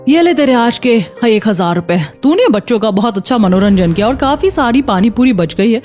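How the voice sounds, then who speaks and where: A callous, well-off mistress of the house from a cartoon put on by a woman narrator. She gives curt orders in a high voice with a mellow tone, over soft music. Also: expressive, fairly quick, lightly acted. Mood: dismissive. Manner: curt, commanding. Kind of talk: children's cartoon story.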